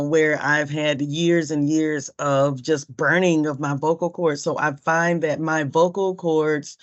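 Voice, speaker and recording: Hoarse, hesitant woman asking a question. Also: deep voice. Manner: hesitant, polite, many fillers. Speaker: workshop participant, singer. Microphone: video call. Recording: dull lo-fi video call audio.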